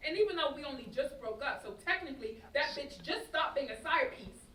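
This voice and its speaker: A woman's voice: high-pitched, lively intonation, brisk, a bit bright. A young woman acting a heartbroken, defensive, slangy young woman in a staged play reading. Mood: indignant, scornful. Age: young adult. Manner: slangy, indignant, exasperated delivery. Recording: roomy distant stage recording, noisy audience.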